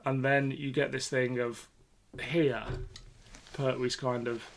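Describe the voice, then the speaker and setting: A man's voice: mid-range voice, little pitch movement, slow pace, a little hiss or hum. A man co-hosting a podcast, talking casually with opinionated, sweary remarks in a review chat. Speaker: podcast co-host.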